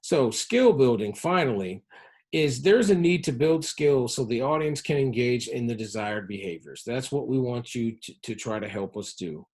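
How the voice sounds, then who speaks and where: A man with a mid-pitched, somewhat husky voice gives an educational presentation. He explains his material in a measured, instructional manner, as a public health professional addressing learners. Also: animated, darkish tone. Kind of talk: educational presentation on health-focused game design.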